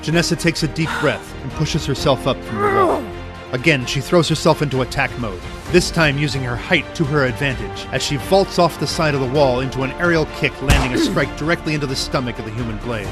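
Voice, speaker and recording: A man giving tense, energetic scripted narration of action in an audio drama. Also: medium pitch, a little fast, over music.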